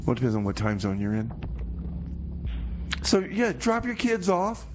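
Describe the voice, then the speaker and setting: Over clearly audible background noise, a man with a mid-pitched voice hosts a casual radio show. He talks in a folksy, halting way, delivering comedic patter as if in earnest. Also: sing-song.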